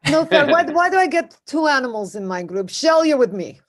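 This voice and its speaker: An aggrieved cartoon animal, female and high-pitched. She whines and complains her way through the script. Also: very animated, brisk. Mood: complaining. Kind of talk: animated comedy table read.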